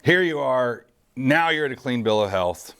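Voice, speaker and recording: A man's voice: mid-range voice, expressive, moderate pace, a bit bright, clear, resonant tone. A man in his early forties hosting a casual interview, rambling and correcting himself, laughing easily. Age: 40s. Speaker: host.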